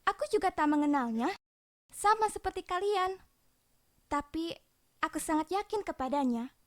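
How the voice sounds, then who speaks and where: Wanita memerankan gadis setia bersuara sangat tinggi. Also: female voice, very high voice, lively intonation, moderate pace. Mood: indignant, earnest. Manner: earnest, pleading. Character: earnest, loyal young female defender of her friend. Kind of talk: comic dub voice acting.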